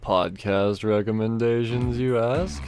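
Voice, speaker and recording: A man's voice: fairly low pitch, fairly even intonation, slow pace. A man reading a podcast promo in a laid-back, slangy manner. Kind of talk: promotional ad read with slangy surfer talk. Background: loud guitar music.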